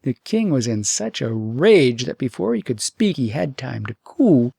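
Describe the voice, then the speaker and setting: Male audiobook narrator for fairytales: expressive, mid-range, some fry in the voice, very dry sound. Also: mid-range voice, unhurried, dry recording. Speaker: audiobook storyteller.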